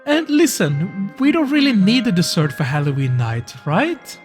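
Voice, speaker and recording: A woman's voice: medium pitch, sing-song, moderate pace, darkish tone, faint music behind, pitched below the performer's own voice, natural voice. A woman voicing an easygoing, affectionate dog husband in a visual novel, with a soothing, casual, coaxing manner. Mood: tender, comforting. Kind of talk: visual novel character acting.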